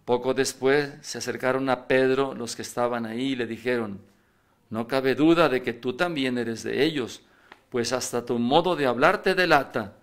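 An older man, a priest, reading the Passion aloud at Mass into a stand microphone in a church, lifting his voice for the quoted lines. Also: normal speed. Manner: reads aloud, raising pitch and volume for quoted voices. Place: church, indoors at a lectern. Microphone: stand mic in front.

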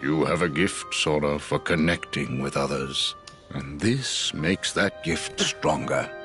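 An actor playing a grave, commanding old wizard master in a video game, speaking formally and with measured solemnity. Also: male voice, extremely low pitch, very animated, a bit bright, faint music behind, full character voice. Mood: solemn, gentle. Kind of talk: video game cutscene voice acting.

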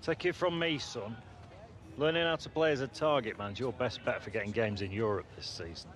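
A man playing a gruff, teasing middle-aged football coach in a video game. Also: medium pitch, a little fast, fairly bright tone, natural voice. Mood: firm, instructive. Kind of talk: video game cutscene dialogue.

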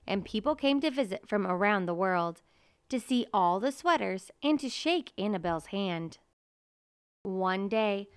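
A woman reads a children's story aloud at a slow, deliberate pace. Her voice is smooth and clear, recorded dry, in a measured storytelling style. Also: mid-range voice, no echo. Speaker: storybook reader. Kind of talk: children's picture book read-aloud.

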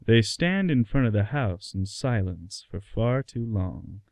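A man's voice: on the low side, big pitch swings, measured pace, gravelly, darkish tone, no echo. A man narrating a scripted radio play.

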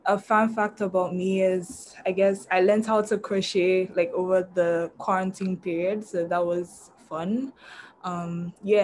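A woman from Ghana introduces herself in a calm, friendly way, speaking at a low pitch for a woman. A faint noise hums under the video-call audio. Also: rough recording. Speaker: MBA student. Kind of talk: self-introduction.

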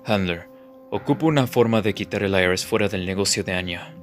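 A woman voicing a composed, awkward spy father with a measured, dry delivery and a Latin American Spanish accent, in an anime fan dub. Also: very deep voice, soft background music. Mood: businesslike.

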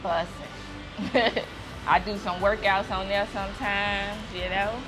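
A giggly, easygoing woman talks casually as a guest, laughing often between loose, slangy remarks. Music plays clearly underneath. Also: mid-range voice, fairly even intonation, slow pace, poor audio. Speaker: guest, gym partner. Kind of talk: casual chat.

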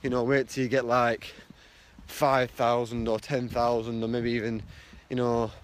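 A man's voice: mid-range voice, flat delivery, a little slow. A young man from the north of England, vlogging casually into a phone held at arm's length while walking outdoors. He rambles with lots of fillers and repeats himself. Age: young adult. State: blocked nose, sounds stuffy. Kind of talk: casual selfie vlog. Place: outdoors on a street walking to work.

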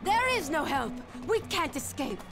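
A woman's voice: sits high, very animated, unhurried, music in the background. An actress playing a desperate, fatalistic mutated woman in a zombie game, speaking in a grave, dramatic, emphatic way. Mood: bitter despair. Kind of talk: video game cutscene acting.

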